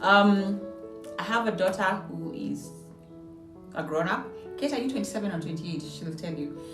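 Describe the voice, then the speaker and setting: A woman's voice: mid-range voice, moderate pace. A woman, hosting a casual vlog from her home. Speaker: channel host. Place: indoors in a house. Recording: roomy echo, distant mic, music behind. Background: background music.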